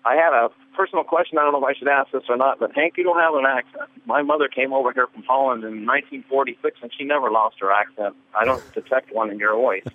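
Earnest male caller on a tinny phone line. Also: mid-range voice. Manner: earnest, a bit halting. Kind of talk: calling in to a radio show.

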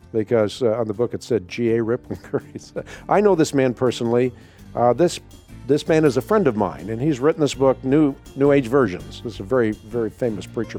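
A man's voice: mid-range voice, mellow. A middle-aged man whose first language is Dutch, hosting a call-in radio show and answering questions emphatically, often repeating words as he thinks. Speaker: radio host. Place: radio studio.

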